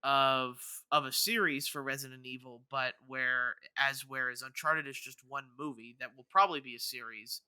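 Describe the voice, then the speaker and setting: A man's voice: mid-range voice, steady tone, normal speed, hardly any pauses, pushed and bright, clear, resonant tone, no echo. A man co-hosting a podcast in casual debate, hesitant and thinking aloud as he talks. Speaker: podcast co-host.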